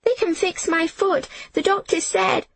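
A woman voicing an excitable young girl in an audiobook, eager, proud and hopeful. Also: on the high side, a little slow, breathy edge, no room sound, pitched above the performer's own voice. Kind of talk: audiobook character voice.

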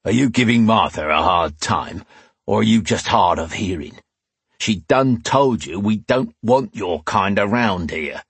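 A man voicing a huge, belligerent drunken tavern brute with rough, growling speech in a fantasy audiobook. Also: fairly low pitch, lively intonation, measured pace, dry recording, exaggerated voice. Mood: menacing.

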